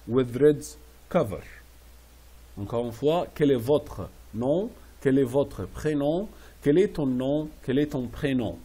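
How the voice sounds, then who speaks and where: A man teaching French classroom phrases, repeating each slowly and translating it into English. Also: a little high, warm tone. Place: Riyadh.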